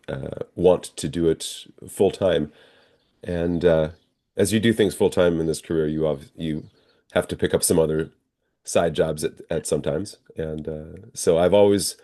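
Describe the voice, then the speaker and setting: A man with an American accent and a deep voice speaks as an interview guest. He answers conversationally and reflectively, working through his explanations step by step. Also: dark tone. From northern Minnesota, United States. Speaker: interview guest, professional stage actor.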